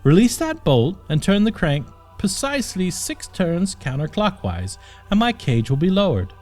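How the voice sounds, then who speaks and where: A man's voice: high voice, big pitch swings, moderate pace, darkish tone, soft background music, pitched above the performer's own voice. A man voicing a young princess in an audiobook, haughty and easily annoyed, speaking in prim, formal phrases. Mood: bossy, precise.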